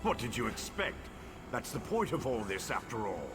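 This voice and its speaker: A man playing a villainous shapeshifting hound in a video game, gloating and theatrical with a gravelly voice. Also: mid-range voice, sing-song, normal speed, over music, lightly acted. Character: villainous shapeshifting Daedric hound posing as archcanon, gloating schemer. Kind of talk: video game dramatic acting.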